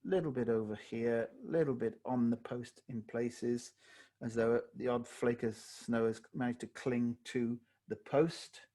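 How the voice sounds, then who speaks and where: A man with a slightly hoarse voice, teaching watercolor live on a video call and thinking aloud as he paints. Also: mid-range voice, a little slow. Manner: relaxed, thinking aloud while painting, self-correcting. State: slightly hoarse, losing his voice. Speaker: watercolor tutor. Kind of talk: live online painting tutorial.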